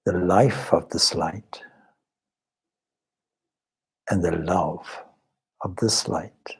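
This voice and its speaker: Slow, low-voiced man guiding a meditation. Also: steady tone, breathy edge. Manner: measured, deliberate, short phrases with pauses. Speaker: meditation teacher, swami. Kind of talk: guided meditation, slow spoken instruction.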